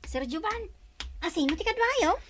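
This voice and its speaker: A bright-voiced anxious helper. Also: female voice, extremely high pitch, sing-song, normal speed, a little hiss or hum, heavily acted. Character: young woman household helper, anxious. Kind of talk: radio drama acting.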